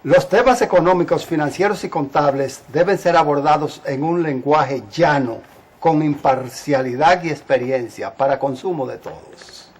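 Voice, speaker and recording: A man's voice: a little high, normal speed. A man talking as a television host. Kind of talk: television panel talk. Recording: echoey TV studio.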